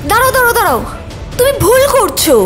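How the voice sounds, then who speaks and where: A woman voicing a young wife in a cartoon who pleads in a high voice. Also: big pitch swings, fast, fairly bright tone, soft background music, exaggerated voice. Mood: anxious.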